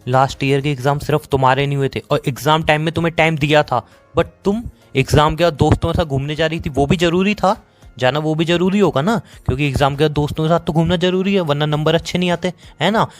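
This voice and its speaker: Man acting a fast-talking, bitter young Indian boyfriend. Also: a little high, soft background music, natural voice. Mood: sarcastic, accusing. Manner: sarcastic, dismissive, rapid-fire. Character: bitter, sarcastic young boyfriend fed up with his girlfriend. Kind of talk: acted phone-call romance drama.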